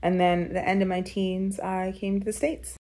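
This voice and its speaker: A woman's voice: medium pitch, little pitch movement, normal speed, mellow. A young woman from Kenya, telling her personal story in a reflective, conversational way with many filler words. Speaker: storyteller guest.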